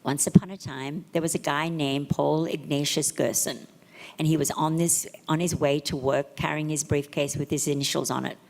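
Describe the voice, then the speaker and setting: A middle-aged woman reading family tributes aloud at a memorial service, with a gentle, measured delivery and pauses between phrases. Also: deep voice, steady tone, creaky. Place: memorial service venue, large room. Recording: hall reverb.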